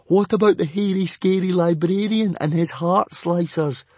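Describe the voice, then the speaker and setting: A nervous young Viking boy, raised and drawling with sarcasm, as a male narrator puts him on, then jumping higher in fright. It sounds close and dry. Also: moderate pace, darkish tone, dry recording. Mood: sarcastic.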